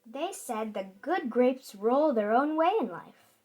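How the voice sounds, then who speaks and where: A woman's voice: high-pitched, expressive, unhurried, a little mellow. A woman reading a children's picture book aloud in a theatrical, emphatic narrating style. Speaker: children's story reader. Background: music.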